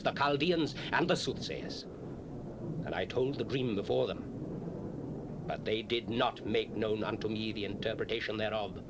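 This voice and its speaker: A man's voice: mid-range voice, close to an everyday voice. An actor playing a proud, commanding Babylonian king in a dramatized scripture, with stately, emphatic delivery. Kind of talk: dramatized scripture, declamatory acting. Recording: noisy 1970s cassette dramatization.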